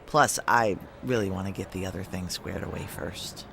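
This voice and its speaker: A woman's voice: rumbling low, moderate pace, creaky, noisy background. An actress playing a brash, foul-mouthed, hard-boiled woman operative, wry and blunt, in a noir audio drama. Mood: wry, matter-of-fact.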